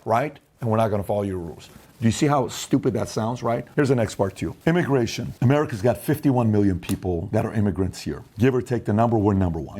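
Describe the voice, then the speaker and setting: A middle-aged man hosting an opinion monologue, arguing emphatically and mimicking his opponents in quick quoted asides. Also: fairly low pitch, warm tone. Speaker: host.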